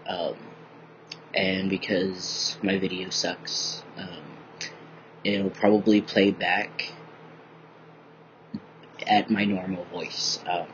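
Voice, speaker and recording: A young man vlogging in a bright room at home, rambling casually with lots of ums, a little groggy from just waking up. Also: low voice, extremely slow, long pauses, background noise. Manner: rambling, many ums, relaxed and candid. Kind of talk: casual personal video diary. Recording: dull lo-fi webcam audio.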